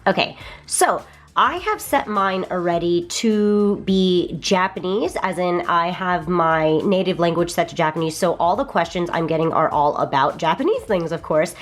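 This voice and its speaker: A woman's voice: lowish voice, expressive, moderate pace, soft background music. A young woman vlogger, bubbly and enthusiastic, chatting casually through an app walkthrough. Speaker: vlogger reviewing a language app.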